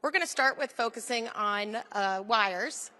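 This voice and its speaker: A woman's voice: fairly high pitch, measured pace, sharp tone, big-room sound. A woman presenting a program in a plain, explanatory way during a scripted public briefing.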